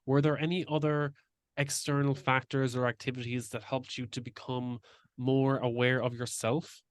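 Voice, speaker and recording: A male podcast host with a smooth, resonant voice talks in an earnest, reflective way. His pitch sits in the middle for a man. The sound is dry and close. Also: flat delivery, normal speed, dry recording. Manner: earnest, reflective, shares own stories. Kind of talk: casual interview chat.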